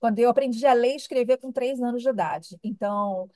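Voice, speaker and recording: A woman's voice: on the high side, fairly quick, mellow, no echo, clean studio sound. A middle-aged woman with a Rio de Janeiro accent, answering interview questions in a reflective, conversational way. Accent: Rio de Janeiro Brazilian Portuguese. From Rio de Janeiro, Brazil. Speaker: interview guest, human development professional.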